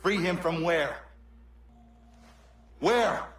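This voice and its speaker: A man's voice: high voice, drawn-out words, fairly bright tone, full character voice. An actor playing a stern, vengeful young male warrior in a video game, speaking coldly to his enemy. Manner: cold, accusing. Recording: roomy game cutscene audio.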